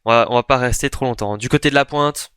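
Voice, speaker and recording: A man's voice: medium pitch, fast, a bit bright, clean tone, no room sound, clean studio sound. A man co-hosting a casual sports chat, thinking aloud with many fillers and restarts. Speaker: podcast co-host.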